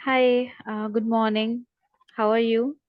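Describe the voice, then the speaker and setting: A woman taking part in an online workshop, sharing personally in a halting, hesitant way as she searches for words. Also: on the high side, little pitch movement, no echo, phone-quality sound. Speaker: workshop participant. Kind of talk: unscripted personal sharing on a call.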